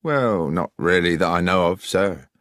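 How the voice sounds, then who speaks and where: Male village bobby for a storybook, voiced by a male reader: smug yet obliging, animated delivery. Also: low-pitched, unhurried, no echo, clean studio sound. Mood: guarded. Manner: obliging, says sir often. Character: smirking, deferential male village police sergeant. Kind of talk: audiobook character reading.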